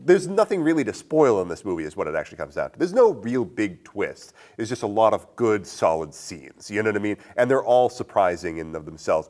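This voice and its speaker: Male podcast co-host, lowish voice with very lively intonation, casual and conversational film discussion.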